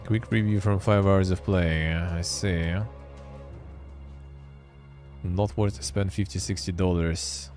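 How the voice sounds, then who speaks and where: A man's voice: deep voice, fairly even intonation, takes it slowly, pauses often, a little mellow, soft background music. A man hosting a live stream, chatting offhandedly and reading text aloud with wry asides. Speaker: streamer and show host. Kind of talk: casual live-stream commentary.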